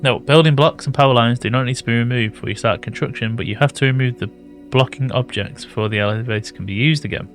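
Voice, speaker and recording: A British man with a slightly raspy voice, thinking aloud and correcting himself as he casually commentates a building game. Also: medium pitch, fast, soft background music. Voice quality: slightly raspy from early morning. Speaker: gaming YouTuber. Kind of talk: casual let's-play commentary.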